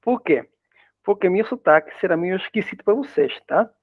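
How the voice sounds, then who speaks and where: A man's voice: high-pitched, lively intonation, moderate pace, breathy edge, no echo. Spanish man speaking Portuguese over tinny call. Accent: Spanish-accented Portuguese, partly Brazilian. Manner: informal, frequent tag questions, hesitant restarts. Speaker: guest lecturer. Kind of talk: academic web conference talk. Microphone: video call. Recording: dry tinny video call audio.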